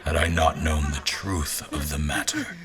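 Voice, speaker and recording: A man's voice: a bass voice, wildly swooping pitch, measured pace, a crowd in the background, heavily acted. A man playing a haughty royal officer, narrating an audio drama in a dry, formal manner. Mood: storytelling. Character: haughty, observant male royal officer recounting events. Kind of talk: scripted first-person narration.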